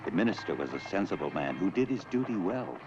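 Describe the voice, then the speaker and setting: A man's voice: low-pitched, sing-song, quick pace. A man narrating a scripted fairy tale as a theatrical storyteller. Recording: dull lo-fi old tape, music behind.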